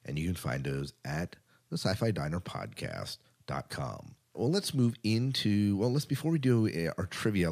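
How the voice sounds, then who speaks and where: Very low-pitched American man, chatty podcast host. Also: a little mellow. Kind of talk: casual podcast chat and show rundown.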